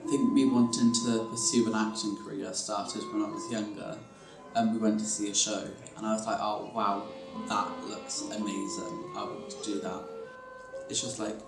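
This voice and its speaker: A young man talks casually about himself. His voice is full of fry and stays fairly even. He is a bit distant in a roomy space, with music behind. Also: on the low side, normal speed. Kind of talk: casual personal account.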